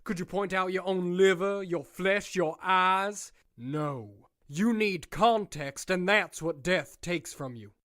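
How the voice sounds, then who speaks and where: An actor playing a smug, condescending prison warden who speaks slowly and deliberately in an audio drama. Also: male voice, sits high, a bit bright. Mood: menacing. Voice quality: smooth, condescending, slow and deliberate. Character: smug menacing male prison warden, middle-aged.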